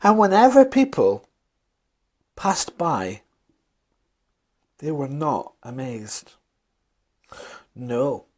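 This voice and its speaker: A man telling a children's bedtime story, with dramatic pauses, emphatic repetition and questions to his listeners. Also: mid-range voice, huge pitch swings, a little mellow.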